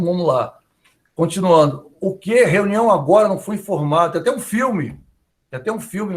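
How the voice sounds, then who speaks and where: A male professor with a Brazilian Portuguese accent, lecturing in a chatty, conversational way and often tagging his sentences with little check-in questions. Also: a little high. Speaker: university professor. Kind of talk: online class lecture, conversational.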